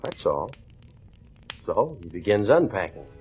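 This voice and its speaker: A man's voice: deep voice, big pitch swings, unhurried, a little mellow. An actor playing a defiant, slangy murder suspect under interrogation in a 1940s radio crime drama, talking in clipped tough-guy fashion. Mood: cocky, matter-of-fact. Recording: old radio drama recording, faint music.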